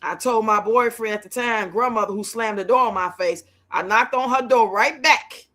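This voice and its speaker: Woman, late fifties, livestream host: high bright voice, blunt, colloquial, chatty. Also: moderate pace. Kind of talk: casual livestream chat with viewers.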